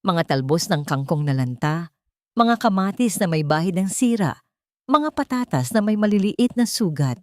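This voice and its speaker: A woman with a lowish voice reads a dramatic story as its narrator. She delivers it in measured, emotive phrases, setting each one apart. The sound is dry, with hardly any room around the voice. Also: expressive, dry recording.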